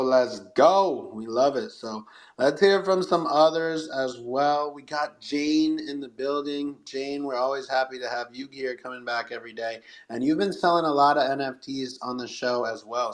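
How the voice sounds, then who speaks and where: A man's voice: medium pitch, low-quality recording. A man speaks as the host of a live audio show. His delivery is upbeat and promotional, with plenty of encouragement and praise for the community listening in.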